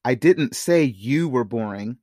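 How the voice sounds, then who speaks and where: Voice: medium pitch, expressive, unhurried, warm tone, no echo, voice pushed down. Narrator playing an easygoing young man, close dry sound. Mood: teasing, confident. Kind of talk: audiobook character dialogue.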